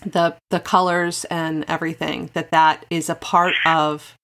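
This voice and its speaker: A woman's voice: sits low, flat delivery, measured pace, vocal fry, fairly bright tone. A woman hosting a conversational podcast interview in an encouraging, affirming way. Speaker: podcast host.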